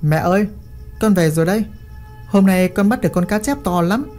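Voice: mid-range voice, a little fast, a little mellow, faint music behind, pitched above the performer's own voice, natural voice. A storyteller voicing a devoted young son who provides for his mother. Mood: cheerful.

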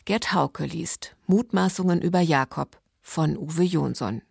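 A woman presents a scripted literary introduction as a radio host. Her voice sits very low and carries a clear creak. The sound is close and dry, with hardly any room around it. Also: unhurried, a little mellow, no echo.

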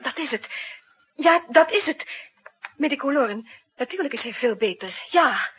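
A woman's voice: high-pitched, animated, moderate pace. An actress playing an anxious, tender woman with an emotional, hesitant delivery in a 1960s radio drama. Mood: anxious, pleading. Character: anxious, tender woman hiding a desperate secret. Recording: muffled 1960s radio recording.

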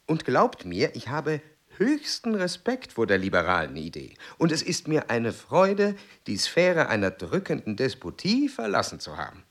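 An actor playing a pompous, meticulous aristocratic explorer, speaking formally and precisely in a German radio drama. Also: male voice, medium pitch, fairly bright tone, exaggerated voice. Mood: effusive, formal. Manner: formal, precise, self-important.

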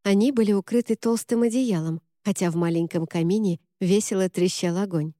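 A woman reading a novel aloud as an audiobook narrator, in an even, measured way. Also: medium pitch, no room sound, studio quality. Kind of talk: scripted narration.

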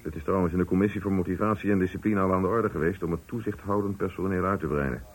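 An actor in a radio play playing a calculating, condescending company director, speaking formally with a husky voice. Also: male voice, sits low, rapid-fire. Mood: cool, calculating. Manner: formal, smooth-talking, patronising. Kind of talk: Dutch radio play acting.

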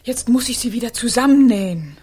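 A pert, high-voiced Parisian woman. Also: expressive, fairly quick, dark tone, breathy edge. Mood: scolding. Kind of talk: German radio drama acting.

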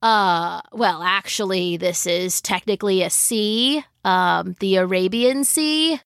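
A woman's voice: medium pitch, takes it slowly, gravelly, a bit bright, no echo, clean studio sound, pitched below the performer's own voice. A woman voicing a smug, fussy know-it-all boy who hesitates and then corrects people, in a storybook narration.

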